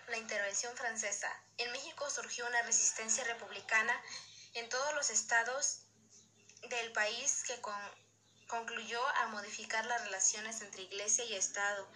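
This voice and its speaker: A woman's voice: on the high side, pushed and bright, slight background noise, rough recording. A woman presenting in a formal way, reading scripted educational narration aloud.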